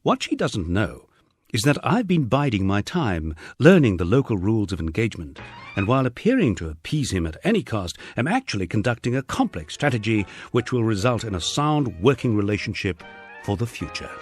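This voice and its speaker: A man with a husky voice reading a wry first-person memoir as scripted audiobook narration, dryly humorous and wordy. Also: fairly low pitch, big pitch swings, fairly quick, faint music behind.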